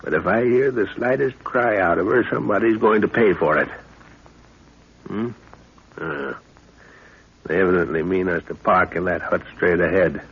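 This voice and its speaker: A man acting a big, gruff, blustering middle-aged professor in a 1930s radio adventure. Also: low-pitched, normal speed, pauses often. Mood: grim resolve. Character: huge, gruff, hot-tempered older professor leading a jungle expedition. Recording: muffled old 1930s radio recording.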